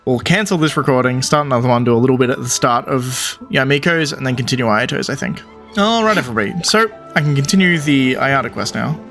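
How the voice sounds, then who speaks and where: A man's voice: mid-range voice, lively intonation, quick pace, fairly bright tone, faint music behind. A man giving casual gameplay commentary as a streamer, thinking aloud as he plays. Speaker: video game streamer.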